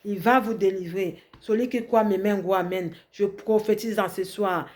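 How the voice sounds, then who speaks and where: A woman's voice: medium pitch, fairly even intonation, a little slow, a little mellow, a little hiss or hum. Fervent woman preacher. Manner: fervent, repetitive declarations with call-and-response. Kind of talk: prophetic sermon, French then Spanish.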